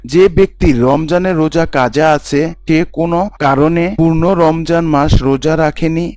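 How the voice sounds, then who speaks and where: A man playing a pious husband and father in a scripted Islamic story, explaining teachings in a measured, didactic way. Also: a little high, darkish tone, no echo, natural voice.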